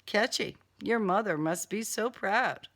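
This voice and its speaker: A woman's voice: mid-range voice, measured pace, a bit bright. A woman voicing a tough, sarcastic young female cat burglar in an audiobook, slangy and defiant. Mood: fed up.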